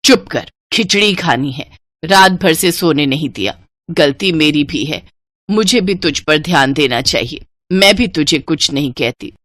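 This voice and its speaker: A woman voicing a caring sister-in-law who scolds and admonishes in an animated moral story. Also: deep voice, measured pace, no echo, pitched below the performer's own voice. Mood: stern, softening. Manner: chiding, admonishing.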